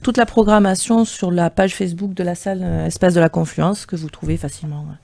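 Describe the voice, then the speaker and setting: A middle-aged woman from a local venue, a radio guest giving calm, practical information. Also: lowish voice, fairly quick, creaky, no echo. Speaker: venue programmer.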